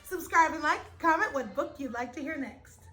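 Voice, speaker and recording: A woman reading a children's story aloud with dramatic, drawn-out emphasis. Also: sits high, animated, bright, forceful tone, soft background music. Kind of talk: animated storybook read-aloud.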